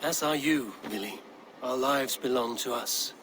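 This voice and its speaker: A man playing a steady Viking clan leader. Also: mid-range voice, measured pace, noisy background, lightly acted. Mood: firm. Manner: calm, firm, counseling. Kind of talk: video game voice acting.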